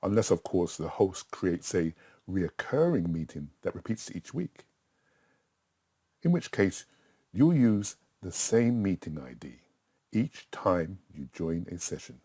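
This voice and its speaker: A middle-aged man giving a casual step-by-step tutorial in a chatty, simple way, with a husky voice. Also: sits low, very animated, slow pace, warm tone. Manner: simple, chatty, talking himself through each step. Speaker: tutor in a tutorial video, also acting as the student.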